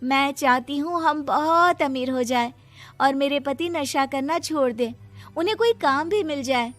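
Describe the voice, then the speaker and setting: A woman's voice: sits high, unhurried, fairly bright tone, faint music behind. A woman voicing a poor, meek, kind-hearted young wife, earnest and emotional, in a moral story. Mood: hopeful. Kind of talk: acted moral-story dialogue.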